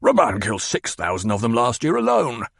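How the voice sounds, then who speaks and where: A man's voice: medium pitch, huge pitch swings, brisk, creaky, a bit bright, slightly rough, dry recording, clean studio sound, exaggerated voice. A man voicing a fat, petulant, demanding baron who blusters and growls. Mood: blustering.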